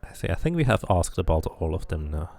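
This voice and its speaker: A man's voice: low voice, sing-song, fast talker, creaky, a little mellow. A man streaming a game, giving casual commentary and reading the text aloud. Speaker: gaming streamer. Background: music behind the voice.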